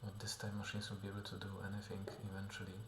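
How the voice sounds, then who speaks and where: A man's voice: on the low side, completely flat delivery, very fast, echoey room, noisy background. A man talking through a casual video diary, hesitant and full of fillers and restarts, often dropping to a half-whisper. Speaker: vlogger and musician.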